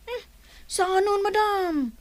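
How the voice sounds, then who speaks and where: A woman's voice: very high-pitched, slow pace, pitched above the performer's own voice. A woman voicing a sleepy, lovesick young male farmhand with an Ilocano accent who defers politely to his employer. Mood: lazy, polite. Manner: deferential, addresses his boss as madam.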